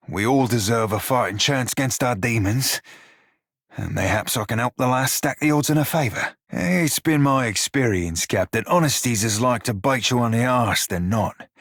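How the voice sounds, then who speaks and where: Husky salty rogue for a video game, flirty n folksy, really dry close sound. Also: male voice, mid-range voice, lively intonation, moderate pace, fairly bright tone, dry recording. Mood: uneasy, sincere. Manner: salty pirate dialect, colloquial. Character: roguish blue-furred psychic pirate, flirty, salty, good-hearted. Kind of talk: video game character dialogue.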